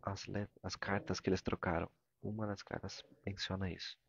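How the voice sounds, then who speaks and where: Low, gravelly Brazilian man interpreting. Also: flat delivery, highs cut off. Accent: Brazilian Portuguese. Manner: halting, searching for words and repeating phrases. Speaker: interpreter of a spiritual discourse. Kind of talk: live interpretation of a morning religious talk.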